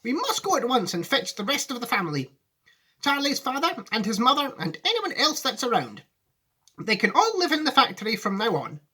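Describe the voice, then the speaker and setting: A man reading a storybook aloud, voicing an excitable, commanding old factory owner in an emphatic manner. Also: extremely high pitch, fairly quick, husky, voice pushed up, heavily acted. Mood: urgent, excited. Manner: emphatic, exclamatory.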